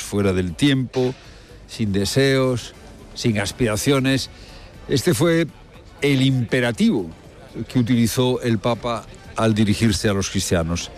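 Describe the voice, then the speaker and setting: Male radio presenter, mid-pitched, emphatic and rhetorical, hammers key phrases by repetition, faint music bed. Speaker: radio presenter commenting on the news. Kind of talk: live spoken commentary with field reporting.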